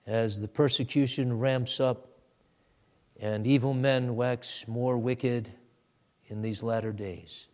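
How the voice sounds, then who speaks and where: A man preaching a sermon and leading a prayer, moving from quiet low asides to loud, high exhortation. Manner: deliberate, drawn-out preaching delivery. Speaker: pastor.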